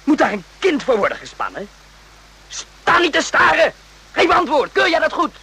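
An actor playing an agitated, outraged soldier in an emphatic radio drama style, repeating himself and swearing. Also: male voice, very high voice, wildly swooping pitch, moderate pace, pushed and bright, full character voice, rough recording. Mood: outraged, pressing. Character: agitated, morally outraged soldier in the Vietnam War.

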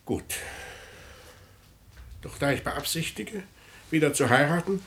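A man's voice: on the high side, lively intonation, takes it slowly, a bit bright, full character voice. A man playing a stern, commanding older father in a German radio play, formal and imperious in manner. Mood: cold, stern.

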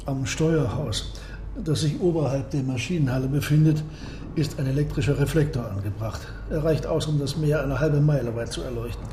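An actor playing a proud, secretive submarine captain and engineer in a German radio drama, speaking in a measured, precise and courteous way. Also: male voice, fairly high pitch, close to an everyday voice. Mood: proudly explaining. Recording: roomy echo, clear noise behind.

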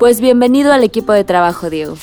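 A woman's voice: mid-range voice, expressive, fast talker, over music, lightly acted. A woman playing a friendly, teasing young villager in informal radio drama dialogue. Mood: welcoming.

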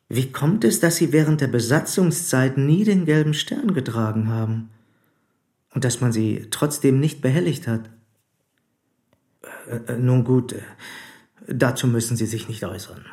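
An actor playing a probing, formal police commissioner in a German radio play, speaking deliberately and insistently. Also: male voice, medium pitch, darkish tone, close to an everyday voice.

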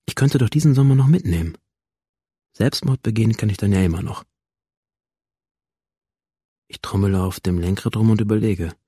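An actor playing a brooding, detached male school student in an introspective, measured first-person radio drama monologue, with a low, husky voice. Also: warm tone, no echo, studio quality. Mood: brooding, hesitant.

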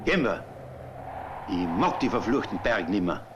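A man's voice: on the low side, sing-song, normal speed, lo-fi sound. An actor playing a shaken, weary male mountaineer who has survived an avalanche, in melodramatic film-scene style. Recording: loud noise over the voice.

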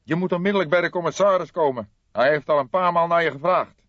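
Casting: male narrator playing anxious police adjutant, pitch raised well up, urgent delivery, dry recording. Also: quick pace, dry recording, highs cut off, lightly acted. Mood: worried, urgent. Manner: concerned, urgent. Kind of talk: audiobook character dialogue.

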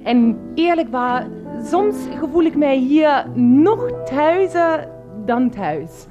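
High, slow, naive young woman. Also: very animated, highs cut off, over music, exaggerated voice. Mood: meek, earnest. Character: naive, sweet, impulsive young mistress from abroad. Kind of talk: radio play acting.

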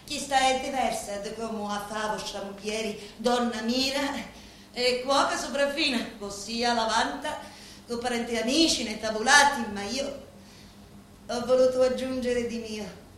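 An actress playing a deferential, devoted Sicilian woman who serves a local boss, speaking a reverent, deliberate stage monologue with a Sicilian accent. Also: on the high side, fairly even intonation, a bit bright. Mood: deferential, confiding. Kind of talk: theatrical dramatic monologue in dialect. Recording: very echoey room, faint background noise.

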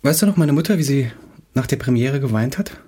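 A wounded, impulsive young male playwright in a radio drama. His voice is medium-pitched and very husky. He speaks haltingly, tripping over and repeating his words with nervous self-doubt. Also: lively intonation, mellow. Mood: agitated, wounded. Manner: halting, stammering, repeating himself. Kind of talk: German radio play acting.

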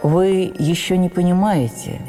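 A woman's voice: lowish voice, steady tone, a little slow, warm tone, soft background music, lightly acted. A woman voicing a stern, imperious male theatre director with emphatic, scolding delivery in a radio play. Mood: firm.

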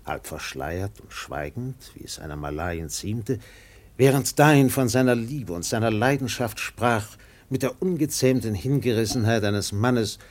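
An actor playing a grave, impassioned seafaring storyteller, narrating a literary radio play with dramatic swells and pauses. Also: male voice, on the low side, a little mellow.

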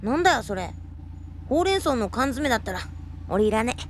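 A woman's voice: on the high side, big pitch swings, a little fast, slight background noise. A woman playing a cheeky, streetwise young boy with brash slang in a Japanese audio drama. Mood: grumpy, impatient. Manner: brash, rough boyish slang.

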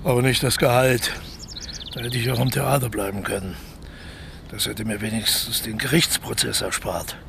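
An actor in a naturalistic radio play playing a sly, embittered male ex-convict, speaking deliberately and often dropping close to a whisper. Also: medium pitch, fairly even intonation, creaky, background noise, natural voice. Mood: bitter, resigned. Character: scheming, embittered ex-convict man plotting a lucrative burglary.

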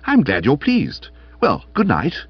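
A man voicing a flippant, idle young gentleman with an upper-class English accent in a comic audiobook, breezy and scornful. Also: on the high side, wildly swooping pitch, takes it slowly, husky, dry recording, dull audio, faint music behind, pitched above the performer's own voice, exaggerated voice. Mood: airy, amused. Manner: airy, scornful, breezily self-satisfied.

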